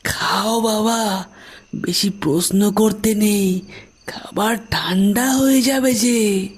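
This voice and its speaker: A man voicing an eerie old food seller in a horror cartoon, coaxing softly in a drawn-out way. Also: high-pitched, slightly rough, exaggerated voice. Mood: quietly ominous. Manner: slow, drawn-out, sometimes whispering.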